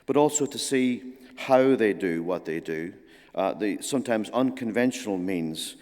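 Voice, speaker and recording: A man giving a formal, measured introduction as a forum moderator, pausing often with uh. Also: fairly low pitch, expressive, a little mellow. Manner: measured, halting with frequent uh pauses. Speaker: event moderator introducing speakers. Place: lecture hall at a forum. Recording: long hall reverb.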